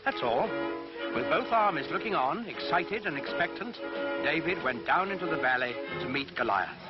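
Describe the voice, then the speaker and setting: A man narrating a scripted children's Bible story in a measured, theatrical, emphatic storytelling manner. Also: fairly high pitch, lively intonation. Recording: dull lo-fi recording, loud music behind. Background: loud music.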